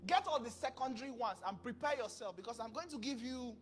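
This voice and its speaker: A man in his thirties preaching a sermon into a handheld mic on a large church stage, acting out dialogue with sudden bursts of loudness. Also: very high voice, a little fast, faint music behind. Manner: animated, acts out dialogue, bursts of volume. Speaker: pastor.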